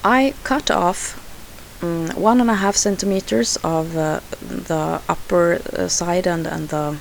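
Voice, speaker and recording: Slow, gravelly Swedish-accented woman. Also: lowish voice, a little hiss or hum. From Sweden. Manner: hesitant, unhurried, frequent fillers and restarts. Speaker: scrapbooking crafter. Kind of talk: narrated craft voice-over.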